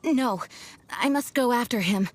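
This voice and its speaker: A woman's voice: fairly high pitch, unhurried, a little hiss or hum, close to an everyday voice. A woman voicing a noble, dutiful knight commander in a video game, speaking formally and earnestly. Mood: resolute. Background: game music.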